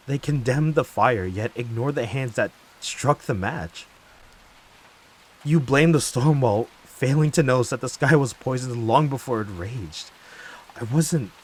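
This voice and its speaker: A low, slow-spoken wounded lover, acted by a man in a late-night audio drama, brooding bitterly over betrayal through faint hiss. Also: darkish tone. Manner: slow, deliberate, brooding, heavy pauses. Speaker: voice actor. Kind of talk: dramatic audio monologue, ASMR-like.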